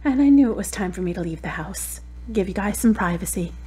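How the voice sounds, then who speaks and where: Actress playing a tender, grieving adult daughter. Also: female voice, medium pitch, animated, fairly quick, close to an everyday voice. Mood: tender, wistful. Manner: tender, plaintive, talking softly to a loved one. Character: grieving adult daughter at her mother's deathbed. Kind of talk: audio drama acting.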